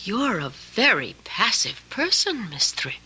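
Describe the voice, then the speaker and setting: A woman's voice: mid-range voice, big pitch swings, unhurried, pushed and bright, breathy edge, full character voice, poor audio. An actress playing a domineering, manipulative woman houseguest with a commanding, patronizing manner, in old-time radio drama style. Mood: smug, controlling. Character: domineering, bossy woman houseguest, coolly manipulative, quick to anger.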